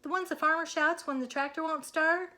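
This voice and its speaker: A woman's voice: high voice, fairly even intonation, a little fast, bright, forceful tone, pitched above the performer's own voice. A woman voicing a bold, scheming cow with an insistent, explaining manner in a children's storybook. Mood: excited, urgent. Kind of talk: children's storybook read-aloud.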